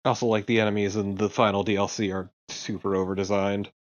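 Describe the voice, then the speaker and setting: A man's voice: on the low side, flat delivery, fast. A man co-commentating casually on video games, recorded with somewhat dull audio.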